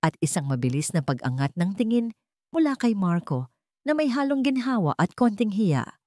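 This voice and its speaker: A woman narrator reading a scripted dramatic story in deliberate, short phrases. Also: medium pitch, big pitch swings, warm tone, no room sound, studio quality.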